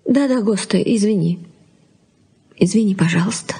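An actress playing a thoughtful, weary middle-aged wife and mother in a Soviet radio play, speaking earnestly and deliberately. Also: medium pitch, over music, natural voice. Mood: apologetic. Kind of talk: Soviet radio drama acting.

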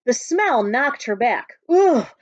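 High, very animated woman reading a storybook. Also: moderate pace, no echo. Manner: expressive storytelling. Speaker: school staff reading to pupils. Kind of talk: picture book read-aloud for children. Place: small book-lined room at home. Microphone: webcam at arm's length. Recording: dull, lo-fi webcam sound.

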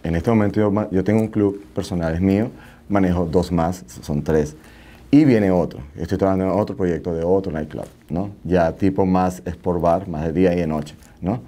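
A man's voice: deep voice, moderate pace, dark tone. A middle-aged man with a Venezuelan accent, talking casually as an interview guest and repeating phrases for emphasis. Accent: Venezuelan Spanish. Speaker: interview guest, nightclub entrepreneur. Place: small indoor TV studio.

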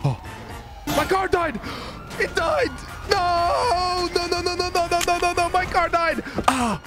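A man hosting a gaming video, giving excitable roleplay gameplay commentary, talking to himself, swearing and breaking into shouts. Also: extremely high pitch, unhurried, loud music behind the voice. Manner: excitable, swearing, shouting outbursts, self-talk.

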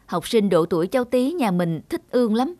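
A woman's voice: medium pitch, big pitch swings, brisk, dark tone, no echo, close to an everyday voice. A woman voicing a polite, concerned primary school teacher who explains courteously, in a cartoon dub. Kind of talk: cartoon dubbing.

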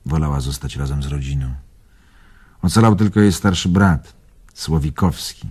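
A man's voice: a bass voice, normal speed, darkish tone, husky. An older man playing a bitter, wounded old bon vivant in a radio drama, musing theatrically and evasively. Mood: wistful reminiscing. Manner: theatrical, musing, swinging between murmur and outburst.

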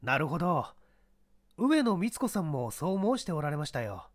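A man's voice: a little high, very animated, normal speed. A man playing a courteous, inquisitive police inspector in a mystery story reading. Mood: probing. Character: polite, probing male police inspector. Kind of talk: radio-drama style mystery reading.

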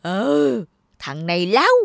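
A woman voicing a greedy, stingy old male landlord in a cartoon, gruff and bossy. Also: mid-range voice, sing-song, slow, no room sound, heavily acted. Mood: scolding. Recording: studio voice with loud music.

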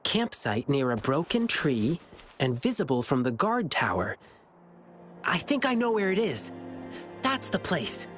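A woman's voice: low voice, big pitch swings, a little slow, faint music behind. A woman voicing an earnest, brave and alert young boy adventurer in a video game.

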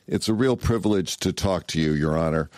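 Deep-voiced American podcast host. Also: male voice, a little fast, darkish tone, no room sound, studio quality. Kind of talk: conversational interview.

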